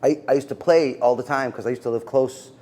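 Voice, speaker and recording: A middle-aged man, a physician guest, answering interview questions in an earnest, halting way with many fillers. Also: medium pitch, fairly even intonation, dark tone. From United States. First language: Macedonian.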